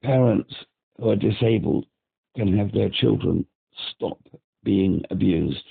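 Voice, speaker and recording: A man's voice: fairly low pitch, slow, vocal fry, slightly rough. A man from Britain calling a helpline, talking in a rambling, agitated way and repeating himself over a phone line. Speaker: caller. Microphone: telephone.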